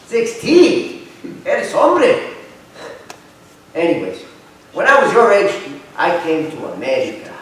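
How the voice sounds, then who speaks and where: A man's voice: sits high, huge pitch swings, slow, pauses often, a bit bright, exaggerated voice. A man playing a fond old grandfather, telling stories in a staged reading. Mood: affectionate. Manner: storytelling, sprinkled with Spanish. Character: fond, animated old Mexican immigrant grandfather. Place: arts center stage, live performance. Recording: echoey hall, distant mic, faint noise.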